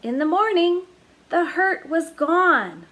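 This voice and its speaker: A woman storyteller reading a children's picture book aloud in a slow, deliberate way. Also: very high voice, big pitch swings, a bit bright.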